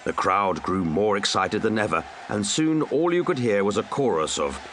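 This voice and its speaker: A man's voice: lowish voice, expressive, normal speed, fairly bright tone, faint music behind. A man narrating a comic children's story with dry, wry asides. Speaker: audiobook narrator.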